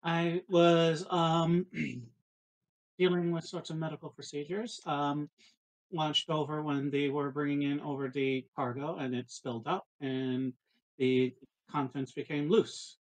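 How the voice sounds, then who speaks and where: A man's voice: fairly high pitch, fairly even intonation, slow, rough recording. A man casually improvising as a player in a tabletop roleplaying game, hesitant and understated.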